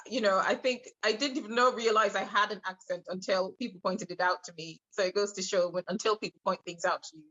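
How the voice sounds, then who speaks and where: A woman in her thirties from Trinidad, a podcast guest telling her personal story with many hesitant pauses. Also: fairly low pitch, fairly bright tone. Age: 30s. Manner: hesitant, many filler pauses, laughs between thoughts. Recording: muffled lo-fi audio.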